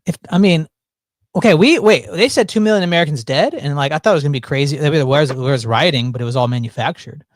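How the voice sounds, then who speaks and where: A man's voice: fairly high pitch, fast talker, dry recording. A man hosting a casual chat, rambling with stops and restarts and a joking tone. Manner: rambling, self-interrupting, jokey. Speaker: podcast host.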